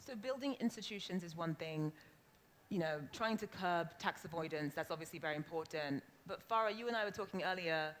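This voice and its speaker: A woman's voice: fairly low pitch, brisk, a bit bright. A woman moderating a panel discussion in a conversational manner. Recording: faint background noise.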